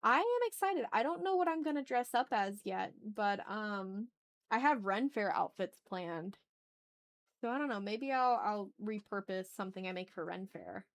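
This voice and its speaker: A woman's voice: medium pitch, expressive, normal speed. Casual woman art streamer, chatting and muttering. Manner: self-talking, repeats short phrases, mild exclamations. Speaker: streamer, artist hosting a live art stream. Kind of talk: casual livestream chat, muttering to self while drawing.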